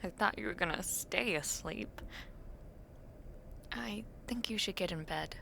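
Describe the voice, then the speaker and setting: A young woman acting an affectionate, teasing university student in an intimate roleplay, talking softly and playfully to the listener late at night. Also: on the low side, unhurried, slight background noise, exaggerated voice. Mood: tender, coaxing. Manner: soft, playful, teasing, with breathy murmurs.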